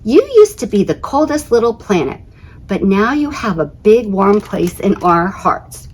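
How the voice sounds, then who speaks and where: A woman voicing a big-hearted, wise Sun in a storybook, measured and reassuring, with emphatic delivery. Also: medium pitch, very animated, a little mellow, breathy edge, voice pushed up, poor audio.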